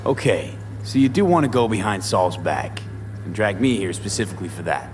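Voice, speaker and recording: Low, dry skeptical mercenary voiced by a man. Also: lively intonation, fairly quick, far from the mic, slight background noise, close to an everyday voice. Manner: dry, clipped. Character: gruff, skeptical male mercenary, cool and streetwise. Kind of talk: video game dialogue.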